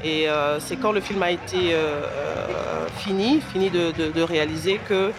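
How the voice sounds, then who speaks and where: Over clear outdoor traffic noise, a man speaks. He gives an interview account as a filmmaker, halting often as he looks for his words. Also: fairly high pitch, fairly even intonation. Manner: hesitant, searching for words.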